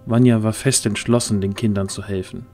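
A man's voice: on the low side, steady tone, brisk, darkish tone, soft background music. A man narrating a scripted fantasy story as the narrator of an audio drama.

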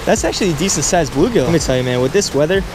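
A young man vlogging outdoors by the water on a windy day, chatty and excitable, talking into an action camera. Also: fairly high pitch, racing. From Delaware, US. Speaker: fishing vlogger. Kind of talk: casual vlog narration. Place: outdoors by a windy spillway, also a store and a parked car. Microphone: action camera, handheld or chest-mounted. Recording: outdoor wind noise.

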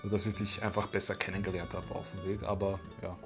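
A young man with a low voice leads a casual interview at a table, speaking reflectively. His intonation stays very flat, and music plays behind him. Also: fast. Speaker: interviewer, vlog host. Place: small wood-panelled room indoors, at a table.

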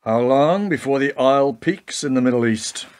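Actor as animated, sardonic US President. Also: male voice, medium pitch, moderate pace, smooth, natural voice. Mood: grim. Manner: pointed, questioning, wry. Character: sardonic, probing male President of the United States. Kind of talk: radio play acting.

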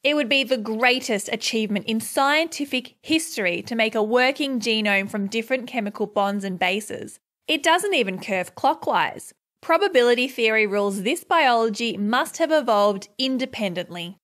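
A woman's voice: on the high side, animated, moderate pace, a bit bright. A woman playing an earnest, assured lab scientist, explaining things precisely.

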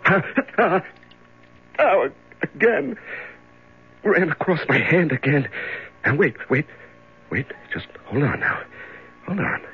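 Radio drama prisoner, fighting panic, gravelly murmured self-talk, dull old broadcast sound. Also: male voice, a little high, very animated, full character voice. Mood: panicked. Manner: halting, murmuring self-talk, breathy outbursts. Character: frightened male prisoner in a dark room, fighting panic. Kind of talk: 1970s radio drama acting, soliloquy. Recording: dull 1970s radio recording.

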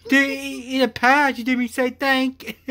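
A young man talks casually as an amateur vlogger on a video call. His delivery is halting and he often repeats words. He is recorded on a phone camera in a small room at home. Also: very high-pitched, little pitch movement, pushed and bright. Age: young adult. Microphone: phone camera at arm's length.

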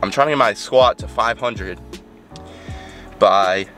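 A man's voice: lowish voice, takes it slowly, pushed and bright, faint music behind. A young man with a nasal, congested voice, rambling casually to a handheld camera from inside his car, full of fillers and restarts. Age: young adult. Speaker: fitness vlogger. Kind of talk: casual vlog talk to camera. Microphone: camera held at arm's length.

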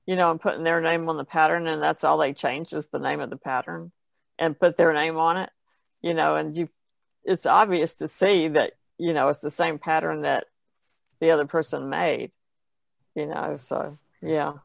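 A woman chats casually as a podcast panelist. Her voice is extremely creaky and gravelly. The recording is dry, with no room sound. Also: deep voice, fairly even intonation, normal speed, no room sound. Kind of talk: casual chat.

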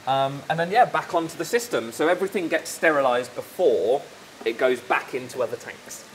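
A young man on staff at a fish shop, explaining his tanks in a relaxed way with lots of ums and yeahs, recorded in a large indoor shop. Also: mid-range voice, normal speed, a little hiss or hum, rough recording. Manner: relaxed, explains with fillers like um and yeah. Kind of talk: casual walkthrough chat.

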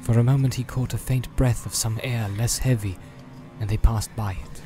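A man reads dramatic fantasy narration in a medium-pitched voice. He is solemn and measured, drawing out phrases, while music plays clearly behind him. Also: steady tone. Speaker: audiobook narrator.

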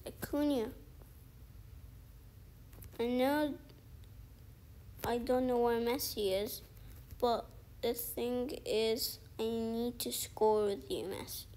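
A woman's voice: fairly high pitch, darkish tone. A young woman casually commentating her mobile football game, rambling and thinking aloud with many "like"s and restarts. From outside the featured countries. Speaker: gaming video creator.

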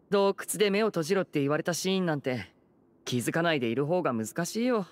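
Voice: mid-range voice, lively intonation, normal speed, close to an everyday voice. An actor voicing a suave, genial young male stage magician in an anime game, light and wry. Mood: wry amusement.

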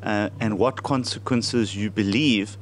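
A man's voice: on the low side, steady tone, measured pace, slight background noise. A young man formally questioning a witness in a parliamentary committee room.

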